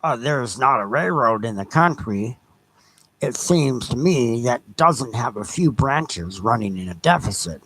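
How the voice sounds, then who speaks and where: A man's voice: medium pitch, expressive, unhurried, fairly bright tone, husky, low-quality recording. A man voicing a dismissive, evasive railroad company president, speaking with defensive, hesitant bluster in an audio play.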